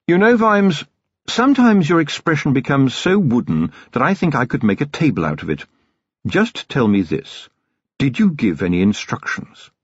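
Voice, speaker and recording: A man's voice: mid-range voice, very animated, moderate pace, slightly rough, no echo, dull audio, pitched above the performer's own voice. A man voicing a cold, ironic middle-aged city tyrant who speaks in a dry, precise, sardonic way.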